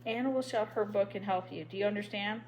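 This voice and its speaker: A prim young schoolteacher, as a woman reader puts her on, clipping each word crisply and firmly like an order. She keeps a mid-height voice, proper and stiff, with no warmth to spare. A faint steady hum sits under the storybook reading. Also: medium pitch, quick pace, lightly acted, poor audio. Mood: stern. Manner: crisp, firm, commanding.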